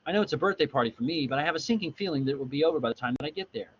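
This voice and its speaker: A man's voice: on the high side, lively intonation, racing, fairly bright tone, voice pushed up. Dreamy, sorrowful young woman narrator, performed by a man, dramatic storybook delivery, slightly dull audio with faint noise. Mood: dreamy, wistful. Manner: dramatic reading aloud.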